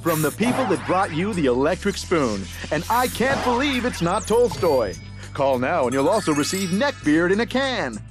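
Casting: theatrical mad-scientist pitchman, high, swooping, grandiose and scornful, game cartoon, music behind. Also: big pitch swings. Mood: scornful pitching. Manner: grandiose, drawn-out showman delivery. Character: pompous mad-scientist villain hawking gadgets, scornful and theatrical. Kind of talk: parody infomercial pitch in game cutscene.

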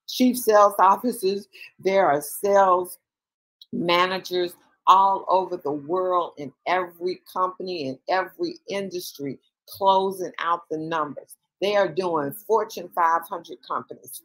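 A woman leading a sales team call, coaching with urgent rapid-fire questions over a video call. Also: lowish voice, husky, rough recording. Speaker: sales leader addressing a team.

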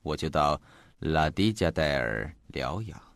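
Deep-voiced, genial police chief. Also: male voice, lively intonation, measured pace, dry recording, studio quality, heavily acted. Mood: amiable, confiding. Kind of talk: Chinese audio mystery drama acting, conversational.

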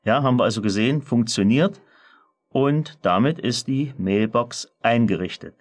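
A man presenting a software screencast tutorial, explaining methodically step by step in a semi-spontaneous walkthrough. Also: medium pitch, normal speed.

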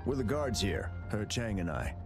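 A hearty ex-ranger guard. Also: male voice, on the low side, big pitch swings, normal speed, music in the background. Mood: friendly. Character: friendly former ranger man, camp guard.

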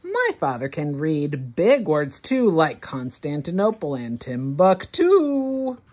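Very slow, expressive woman reading aloud. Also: deep voice. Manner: deliberate, word-by-word, expressive delivery for early readers. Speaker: children's read-along host. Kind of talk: read-aloud of a rhyming picture book for young children.

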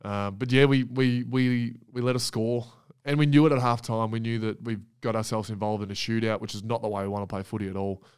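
A man's voice: on the low side, a little fast, few pauses, clean tone, dry recording, clean studio sound. A young Australian man co-hosting a casual podcast, rambling with fillers. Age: young adult. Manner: relaxed, rambling, many fillers. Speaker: podcast co-host, footballer. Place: small office studio. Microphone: desk mic on boom arm.

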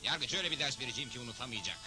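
A man's voice: mid-range voice, expressive, rapid-fire, music in the background, lightly acted. A man playing a bold, defiant cowboy hero in a dubbed cartoon, assertive and wry. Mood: confident, amused.

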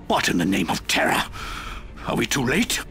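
An actor playing a proud, century-old imperial general in a video game, declaiming in a grandiose, theatrical way. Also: male voice, mid-range voice, huge pitch swings, normal speed, bright, forceful tone, faint music behind. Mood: defiant outrage. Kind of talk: dramatic video game voice acting.

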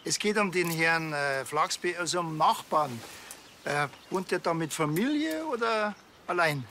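An actor playing a dry, teasing small-town police officer with a Bavarian accent and a deadpan manner. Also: male voice, on the high side, unhurried, slight background noise, full character voice.

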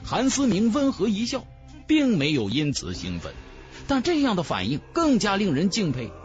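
A man narrating a fantasy novel in a dramatic, expressive storytelling manner. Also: high-pitched, normal speed, muffled recording. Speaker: audiobook narrator. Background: background music.

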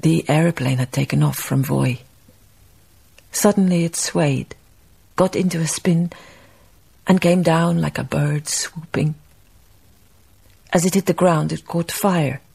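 Sad dignified lady memoirist, radio drama, breathy husky voice, some fry in it. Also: sits low, fairly even intonation, unhurried, long pauses, darkish tone, exaggerated voice. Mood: grave, grieving. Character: grieving woman memoirist, wistful, dignified storyteller. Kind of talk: radio drama acting, reflective narration.

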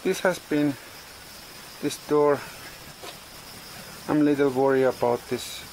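A man in his forties vlogging casually outdoors on a farm, speaking in halting English and searching for words, recorded on a handheld phone. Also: fairly high pitch, steady tone, darkish tone, slight background noise, low-quality recording. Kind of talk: casual vlog narration. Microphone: phone camera at arm's length. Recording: outdoor ambience with insects and animals.

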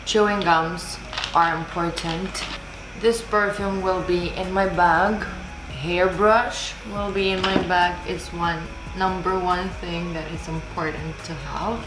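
A young woman vlogger, speaking English as a second language, chats casually at a slow pace. Her voice has a roomy echo, as if recorded indoors a little way from the camera, and music plays clearly behind her. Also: fairly low pitch, fairly even intonation. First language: not English. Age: 20s. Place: small room indoors, seated at desk. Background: background music.

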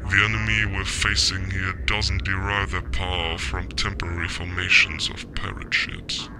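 Deep, gravelly, grave spymaster. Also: male voice, flat delivery. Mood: ominous. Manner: measured, deliberate, ominous. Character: grave, calculating spymaster of a galactic empire. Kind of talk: science fiction audio drama acting. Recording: music behind the voice.

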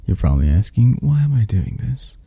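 A man voicing an obsessed, flirty, possessive male kidnapper in a hushed, halting ASMR roleplay. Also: fairly low pitch, sing-song, no room sound, full character voice. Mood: possessive, menacing. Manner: hushed, halting, breathy-soft delivery.

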